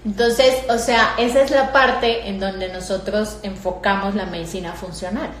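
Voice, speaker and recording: A woman with a Mexican Spanish accent hosts a conversation, engaged and questioning, with frequent filler phrases. Her voice sits at a mid pitch. It carries a roomy echo over faint background ambience. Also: fairly even intonation, moderate pace, slight background noise. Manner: engaged, questioning, says "o sea" often. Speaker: host. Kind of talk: interview conversation. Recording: echoey room with faint ambience.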